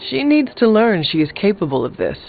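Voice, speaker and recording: High, gravelly, stern hero. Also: male voice, huge pitch swings, brisk, narrow, tinny audio, exaggerated voice. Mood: stern, earnest. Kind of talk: video game voice acting. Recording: band-limited game audio, faint music.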